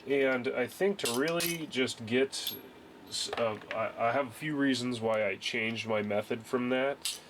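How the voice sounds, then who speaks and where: A man's voice: mid-range voice, measured pace, background noise. Congested young man rambling at a workbench. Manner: rambling, many fillers and restarts. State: congested from a cold. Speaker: home reloading hobbyist. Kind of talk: casual workbench tutorial. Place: garage workbench, indoors.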